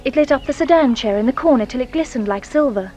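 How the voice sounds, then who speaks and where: A woman's voice: high voice, animated, quick pace, darkish tone, faint music behind. An actress playing an anxious, guilt-ridden young Englishwoman giving testimony in a radio drama, with a hesitant, dramatic manner. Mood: uneasy recollection.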